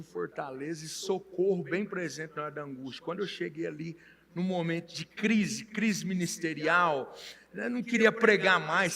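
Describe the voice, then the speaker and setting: A man in his forties with a Minas Gerais accent, talking as a guest in a folksy, joking, animated way. His voice sits high for a man and has a gravelly fry under a bright, sharp edge. The room gives it a long, ringing reverb. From Minas Gerais, Brazil. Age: 40s. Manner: folksy, jokey, animated storytelling. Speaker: pastor and guest. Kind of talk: casual storytelling interview.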